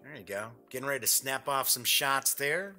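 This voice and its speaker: A man with a bright, forward voice talks through an unboxing off the cuff. His pitch moves around in a lively way as he chats, jokes and mutters little asides to himself. Faint music sits behind him. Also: normal speed. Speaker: host of a tabletop game review show. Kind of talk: casual off-the-cuff unboxing commentary.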